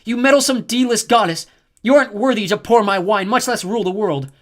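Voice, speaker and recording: A man's voice: high voice, brisk, voice pushed up, close to an everyday voice. A man doing a furious haughty queen goddess. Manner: shouting, scornful.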